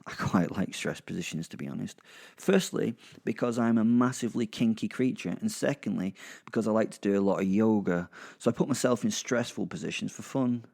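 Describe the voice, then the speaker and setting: Low, gravelly British werewolf played by a man. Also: brisk, a little mellow. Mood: boastful, kinky. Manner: rambling, sweary, sardonic asides to the listener. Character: crude, kinky, irritable male werewolf narrating his ordeal. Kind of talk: first-person comic horror audio drama narration.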